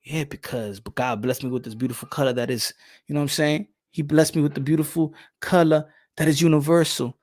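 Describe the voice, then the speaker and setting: Excitable boxing channel host, higher voice. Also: male voice, a little fast. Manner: animated, repeats phrases, reads chat aloud. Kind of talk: casual livestream chat.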